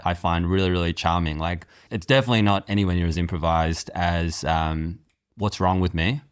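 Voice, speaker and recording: A man's voice: deep voice, no echo, studio quality. A man speaking as a podcast guest and writer, conversational, explaining things in a thoughtful way.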